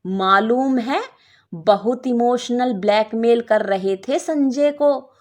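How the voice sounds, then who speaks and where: A woman's voice: fairly high pitch, slow pace. A woman voicing a manipulative, worldly young married woman in a story, knowing and condescending. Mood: smug, hard.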